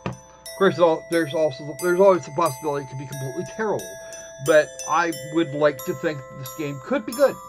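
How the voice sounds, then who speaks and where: High-voiced man casually streaming a game over music. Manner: halting, repeats and restarts phrases. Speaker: live stream host playing games. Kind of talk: casual live game commentary.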